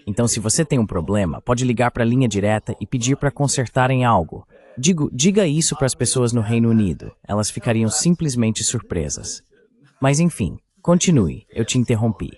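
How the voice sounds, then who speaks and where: A man speaking in a measured, explanatory way, as in a dubbed political discussion. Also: medium pitch, big pitch swings, a little mellow. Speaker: AI voice reading a translated political commentator.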